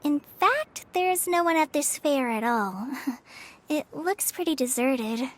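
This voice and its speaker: A woman voicing a polite, gentle, hesitant young companion in a video game. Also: sits high, expressive, takes it slowly, slight background noise. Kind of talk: video game cutscene acting. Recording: background music behind the voice.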